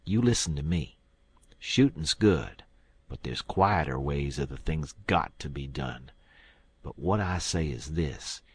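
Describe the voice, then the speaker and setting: A man puts on a scheming gang boss for an audiobook. He is low and raspy, recorded dry. He reasons coolly and deliberately in folksy country speech. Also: expressive, no room sound, full character voice. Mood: calmly scheming. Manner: deliberate, persuasive rustic talk. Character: cool-headed scheming male gang leader Packard, smugly reasonable. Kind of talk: audiobook character acting.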